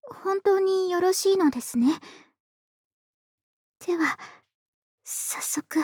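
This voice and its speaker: A woman playing an affectionate, polite young neighbor in an ASMR voice drama, speaking in a soft, intimate, gentle murmur close to the mic. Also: very high-pitched, slow, no echo. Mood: shy, tender, intimate. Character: affectionate, polite young adult woman next door, tipsy and doting. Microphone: close dry studio microphone.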